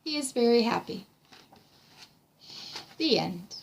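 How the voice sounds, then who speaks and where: A woman's voice: fairly high pitch, lively intonation, slow pace, gravelly. A woman reads a storybook aloud and discusses it in an encouraging, explanatory way. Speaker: reading tutor reading aloud to a child. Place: small room indoors.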